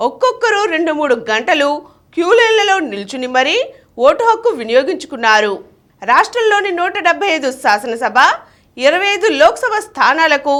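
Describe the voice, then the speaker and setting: A woman's voice: high voice, a bit bright. A woman reading a scripted news bulletin as the news reader.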